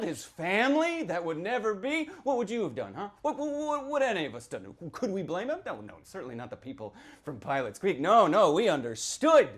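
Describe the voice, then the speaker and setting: A man giving a dramatic reading as a sinister small-town storyteller, swinging from hushed whispers to shouted outbursts. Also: high-pitched. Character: sinister small-town local man recounting a grim tale. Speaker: author performing a reading from a novel. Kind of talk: theatrical first-person horror storytelling to an audience.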